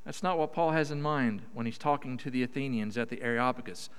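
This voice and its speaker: A middle-aged man with a Southern drawl from Oklahoma preaches a sermon in a folksy, emphatic way, stretching his vowels. His voice is smooth and resonant. It rings out with a long reverb through a church hall. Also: mid-range voice, fairly quick. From Oklahoma, USA. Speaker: guest preacher. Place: church sanctuary.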